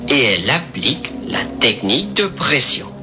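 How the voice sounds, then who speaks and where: A man narrating an instructional record in a calm, earnest, measured way. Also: mid-range voice, over music.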